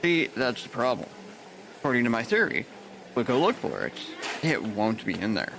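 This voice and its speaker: A man's voice: mid-range voice, big pitch swings, normal speed, vocal fry, background noise. A man playing a crude, sarcastic hospital worker. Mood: sardonic. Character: sardonic male hospital worker, cynical.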